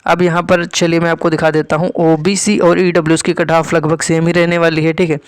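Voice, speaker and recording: A man with a fairly high-pitched voice speaks as an exam-prep teacher. He explains step by step in an informal, instructive way, repeating key points and checking that listeners follow. The sound is dry, with very little room echo. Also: level tone, fairly quick, smooth. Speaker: exam-prep teacher presenting expected cutoffs. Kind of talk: informal explanatory lesson to students.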